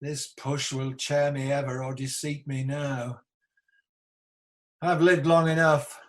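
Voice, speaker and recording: A man's voice: mid-range voice, little pitch movement, fairly bright tone. An amateur actor playing an ageing, embattled tyrant king in a Shakespearean radio play, declamatory, defiant and world-weary. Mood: weary, bitter. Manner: declamatory, slow, theatrical.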